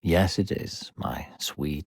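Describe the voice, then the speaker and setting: A man voicing a falsely sweet, controlling demon narrator in a video game, theatrical and condescending, with a gravelly, husky voice. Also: very deep voice, slow, a little mellow, no echo, clean studio sound, full character voice. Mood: coaxing. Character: smooth-talking sinister demon narrator coaxing a child.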